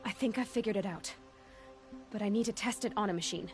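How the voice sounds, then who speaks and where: A woman's voice: mid-range voice, fairly quick, natural voice. A woman playing a determined, self-reliant young machine hunter in a video game, focused and often muttering to herself. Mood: resolved. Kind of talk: naturalistic video game voice acting. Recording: loud music behind.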